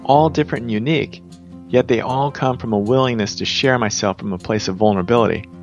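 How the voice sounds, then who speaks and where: A man's voice: mid-range voice, fast talker, dull audio, faint music behind. A man hosting a podcast, speaking in reflective monologue and introducing guests. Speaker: podcast host.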